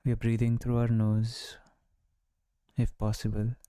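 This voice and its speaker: A man guides a meditation in a mellow, relaxed voice with very little pitch movement. His delivery is slow and soothing, with generous pauses. The sound is smooth and calm throughout. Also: no room sound, clean studio sound. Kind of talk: guided body-scan meditation.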